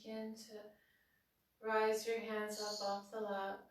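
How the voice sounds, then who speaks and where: A woman leading a guided breathing meditation at the end of a yoga class, giving slow, soothing instructions. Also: mid-range voice, completely flat delivery. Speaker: yoga teacher. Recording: very roomy, from far off.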